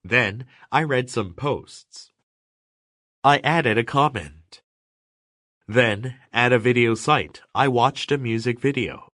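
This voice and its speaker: A man reading scripted narration for English learners with careful, measured enunciation. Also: medium pitch, fairly bright tone, no room sound, studio quality.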